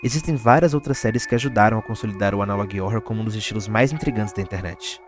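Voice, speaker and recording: A man's voice: fairly low pitch, fast. Brazilian man narrating over music. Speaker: video essay narrator. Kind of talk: scripted explainer narration. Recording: music bed under the voice. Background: background music.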